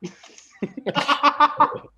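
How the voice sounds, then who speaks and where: A man's voice: extremely slow, sharp tone, exaggerated voice. A man voicing a sleazy, pushy male suitor with a smarmy manner in a script read-aloud. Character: sleazy male wolfish suitor in gold chains.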